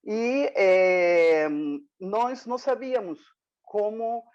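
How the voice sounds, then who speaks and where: A woman from Mexico and Nicaragua with a Spanish accent, speaking as a guest on an online panel, warm and storytelling with many fillers. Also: medium pitch, drawn-out words, muffled recording. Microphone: video call.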